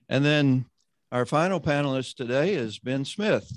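A man hosting an online roundtable, introducing panelists and leading a prayer in a measured, conversational way. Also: mid-range voice, dry recording. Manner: measured, conversational, often slowing down. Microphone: video call.

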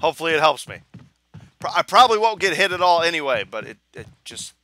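A young man commenting on a video game as he plays, joking and mocking himself, with a hoarse voice that he says is going. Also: on the high side, fast talker, no room sound. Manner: joking, self-mocking, animated. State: hoarse, losing his voice. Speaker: gaming channel host. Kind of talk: casual let's play commentary.

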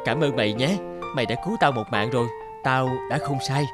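An actor voicing a frightened, weary fugitive in an animated fairy tale, talking to himself dramatically. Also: male voice, mid-range voice, fairly quick, close to an everyday voice. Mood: grateful. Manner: dramatic, expressive self-talk. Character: frightened, weary male fugitive hiding in a cave. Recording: loud music under the voice.

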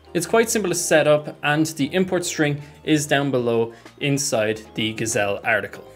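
A young man giving a casual software tutorial, speaking into a desk microphone indoors. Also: medium pitch. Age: young adult. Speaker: tutorial host. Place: small room at home, indoors. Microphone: desk microphone close in front.

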